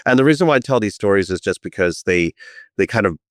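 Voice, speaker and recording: A man's voice: fairly low pitch, lively intonation, quick pace, no room sound, clean studio sound. A man being interviewed as a company co-founder, explaining his firm's mission and his family background thoughtfully. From United States. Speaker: guest, firm co-founder. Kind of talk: interview answers.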